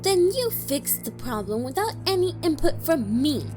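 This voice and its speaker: Woman acting high-pitched, expressive young female bard. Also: normal speed, over music. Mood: indignant. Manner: expressive, indignant when provoked. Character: young female traveling bard, earnest, easily exasperated. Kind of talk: fantasy audio drama acting.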